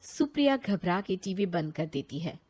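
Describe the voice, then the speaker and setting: A woman's voice: lowish voice, big pitch swings, normal speed, a little mellow, breathy edge. A woman reading scripted narration for a cartoon story in a plain, matter-of-fact way.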